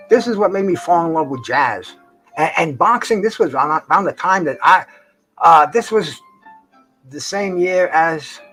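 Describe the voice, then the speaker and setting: An older man, in his sixties or beyond, hosting a casual live stream in slangy, profane, halting speech with repeated words. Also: a little high, a bit bright, husky, poor audio. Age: 60s or older. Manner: slangy, profane, says "man" and "bro" often. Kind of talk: rambling casual chat to livestream viewers. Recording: jazz music playing behind the voice. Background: jazz concert recording.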